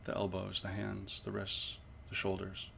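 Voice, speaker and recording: A man leading a guided body scan meditation as an instructor, with measured, pausing, gently instructive phrasing. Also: low-pitched, completely flat delivery, creaky, slight background noise.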